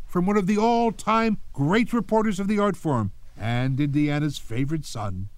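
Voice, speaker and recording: A man's voice: a little high, normal speed, no echo, lightly acted. A man playing a pompous, chirpy news anchor in a mock radio newscast. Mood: pompous, upbeat.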